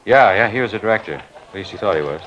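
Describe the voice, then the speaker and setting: An actor playing a harried middle-aged film studio manager in a 1950s radio crime drama, talking in a brusque, offhand way. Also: male voice, on the low side, expressive, fast, fairly bright tone. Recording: dull, noisy old radio transcription.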